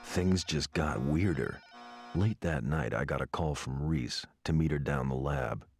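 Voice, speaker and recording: A man playing a world-weary police pilot, telling his own story as terse, brooding noir narration with a gravelly voice. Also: extremely low pitch, unhurried, darkish tone, a little hiss or hum. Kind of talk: noir first-person scripted narration.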